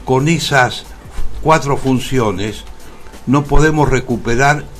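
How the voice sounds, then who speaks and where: An older man with a Rioplatense Argentine accent, explaining things deliberately as a guest in a radio interview. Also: mid-range voice, faint music behind. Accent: Rioplatense Argentine Spanish. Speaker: interview guest, theatre actor.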